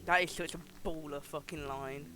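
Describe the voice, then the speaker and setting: A woman streamer giving excited playthrough commentary over a game. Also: sits low, normal speed, soft background music.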